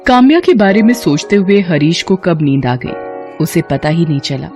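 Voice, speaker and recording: A woman narrating a scripted bedtime story in a steady, even manner. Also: fairly low pitch, moderate pace, music in the background.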